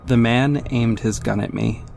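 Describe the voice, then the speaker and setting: A man's voice: mid-range voice, steady tone, unhurried, creaky, a little mellow, soft background music. A man playing a confident young vigilante who narrates his own exploits in a wry, smug way in an audio drama. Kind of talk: scripted first-person audio drama narration.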